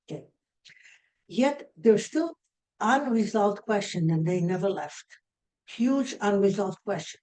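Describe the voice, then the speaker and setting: Israeli woman lecturing, mellow voice. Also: mid-range voice, poor audio. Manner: explaining step by step, with frequent restarts. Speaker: astrology lecturer.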